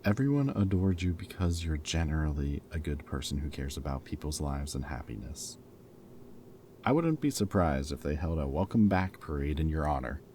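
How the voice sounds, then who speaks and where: A man playing a protective, teasing former villain in an audio roleplay, with a wry, casual, halting delivery. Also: very deep voice, expressive, darkish tone, slight background noise. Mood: earnest, reassuring.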